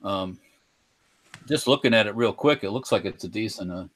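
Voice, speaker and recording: A man's voice: lowish voice, normal speed, a bit bright. A man co-hosting a podcast, chatting casually and hesitantly, often trailing off. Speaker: podcast co-host. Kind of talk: casual chat about firearms.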